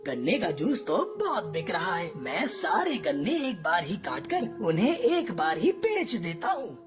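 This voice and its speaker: A woman's voice: lowish voice, very animated, measured pace. A woman voicing a greedy, scheming male crow trader in a Hindi cartoon moral story, with a bossy, theatrical delivery. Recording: loud music bed under voice. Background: background music.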